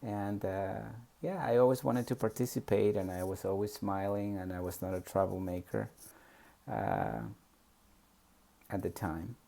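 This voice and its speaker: A man's voice: on the low side, fairly even intonation, a little slow, vocal fry, warm tone. A man from Peru with a Spanish accent, telling his life story in a hesitant, reflective way with many fillers. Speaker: memoir storyteller. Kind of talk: personal reminiscence.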